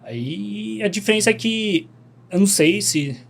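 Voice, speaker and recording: A young man, a podcast guest telling stories in relaxed, slangy chat. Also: sits high, unhurried, gravelly. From Brazil. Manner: laid-back storytelling, slangy, animated.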